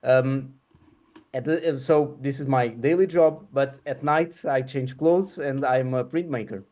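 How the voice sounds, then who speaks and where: A middle-aged man from Portugal talks in a relaxed interview, stopping and restarting often and filling the gaps with ums. His voice sits at an ordinary pitch. The sound comes over a video call and is slightly dull. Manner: halting, many fillers and restarts. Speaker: guest printmaker. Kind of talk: casual interview talk.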